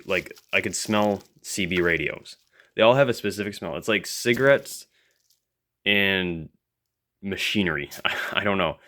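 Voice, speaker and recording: A man's voice: low-pitched, a bit bright. A man giving casual, off-the-cuff hands-on commentary as a hobbyist video host, musing and thinking aloud as he goes.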